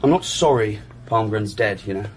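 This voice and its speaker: A man's voice: fairly low pitch, expressive, normal speed, a little hiss or hum, close to an everyday voice. An actor playing a blunt, cynical male journalist in a radio drama, answering in an offhand, grudging way. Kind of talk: naturalistic radio drama acting.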